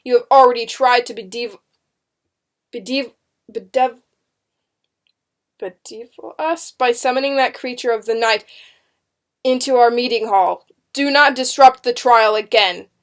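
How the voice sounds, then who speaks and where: A woman reader puts on a fierce man hunting witches in a storybook drama. He sounds angry and loud, barking out commands and accusations with a scowling manner. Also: fairly high pitch, measured pace, long pauses, close to an everyday voice. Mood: angry commanding. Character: fierce, scowling slender male witch-hunter.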